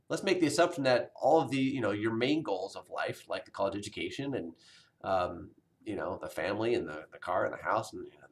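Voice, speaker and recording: A man in his forties, a college health instructor, talking casually to his online students in a recorded video message, rambling with many fillers and restarts. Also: mid-range voice. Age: 40s.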